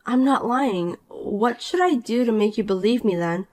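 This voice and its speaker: A woman voicing a calm, cool married young woman in a dramatized story, dry and patient. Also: fairly high pitch, moderate pace, lightly acted.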